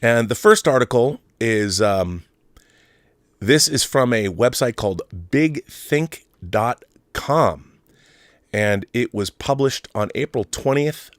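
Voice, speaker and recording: A man's voice: medium pitch, no room sound, clean studio sound. A man hosting a livestream, talking casually and reading things out, with conversational asides and repeated phrases for emphasis. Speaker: livestream host. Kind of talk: casual live commentary, reading out comments and articles.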